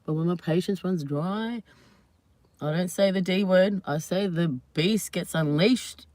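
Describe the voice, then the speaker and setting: A woman hosting her own channel, chatting casually and at length to her viewers, slightly hoarse, rambling and laughing often. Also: on the low side, measured pace, a little mellow, no room sound. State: hoarse from screaming. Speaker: channel host. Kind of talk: casual live vlog chat to viewers.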